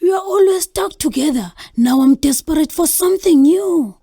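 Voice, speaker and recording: A woman playing a soft air spirit who can turn rough, in a children's folktale audio drama, with a breathy, drawn-out delivery. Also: very high voice, lively intonation. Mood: restless. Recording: loud music over the voice.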